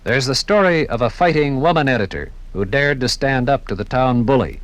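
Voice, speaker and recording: An American man narrating a scripted 1950s radio documentary in a measured, formal storytelling manner. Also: mid-range voice, animated, a bit bright, dry recording. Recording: 1950s radio broadcast from vinyl record.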